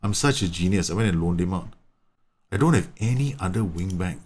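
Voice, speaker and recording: A chatty man in his thirties, talking through a live game stream. His voice sits a little low, and he often stumbles and repeats words as he explains tactics at length. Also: expressive, a little fast, darkish tone. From Singapore. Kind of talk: live gameplay commentary and chat.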